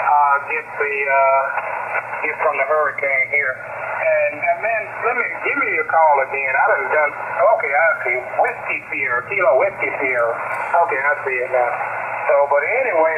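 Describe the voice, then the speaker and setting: A man chatting casually over amateur radio as an operator, with a folksy, rambling, hesitant manner full of repeated words. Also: on the high side. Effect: amateur radio transmission. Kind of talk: casual two-way radio chat. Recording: narrow-band shortwave radio audio, loud static.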